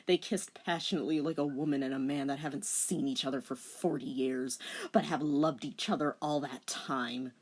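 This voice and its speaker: Woman, slightly low pitch, playful host, tongue-in-cheek, casual scripted narration. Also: fairly even intonation, normal speed, breathy edge. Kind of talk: casual scripted commentary and dramatic reading.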